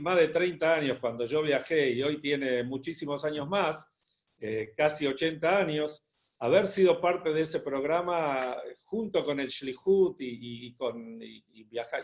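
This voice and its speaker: A man's voice: medium pitch, highs cut off. A man, a rabbi, recounting his experiences hesitantly and with frequent restarts on a video call. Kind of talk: personal testimony at an online gathering.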